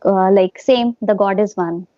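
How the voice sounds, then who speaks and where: A woman's voice: mid-range voice, unhurried, mellow, highs cut off. A woman with an Indian English accent, an English teacher, talks encouragingly on a video call and mixes in Hindi phrases. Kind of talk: casual video call conversation.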